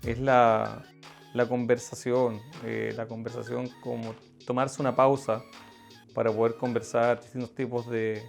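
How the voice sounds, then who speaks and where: Chilean man, gravelly and halting, with light guitar underneath. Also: mid-range voice, little pitch movement. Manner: hesitant, repeating words as he thinks. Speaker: barista interviewee. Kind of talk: on-camera interview answers. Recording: guitar music behind the voice.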